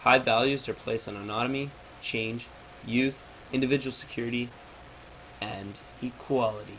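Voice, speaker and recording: A man's voice: mid-range voice, fairly even intonation, moderate pace, vocal fry, fairly bright tone, a little hiss or hum, low-quality recording. A man reading out a prepared informational presentation in a formal, textbook-like way.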